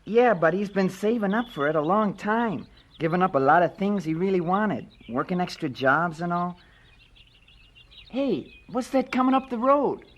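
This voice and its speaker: A woman's voice: lowish voice, expressive, moderate pace. A woman playing an earnest, argumentative and observant country schoolboy in a 1970s radio drama, speaking insistently. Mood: curious.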